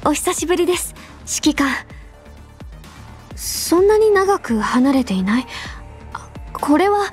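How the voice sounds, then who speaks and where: A woman voicing an earnest, rule-bound young female adjutant in an anime game, speaking formally and politely with quiet care. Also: high-pitched. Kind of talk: Japanese anime game voice lines, intimate delivery. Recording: background music under the voice. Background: music.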